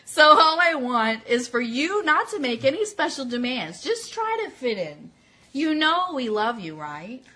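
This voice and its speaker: A woman with a bright, fairly high voice hosts an informal fan show. She is excitable and giggly, moving between casual chat and playful reading aloud. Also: unhurried. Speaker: host of an Elvis fan radio show.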